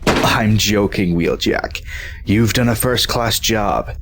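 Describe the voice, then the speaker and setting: A man playing a calm, dry-humored robot hero with an understated, earnest delivery. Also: on the low side, takes it slowly, slight background noise.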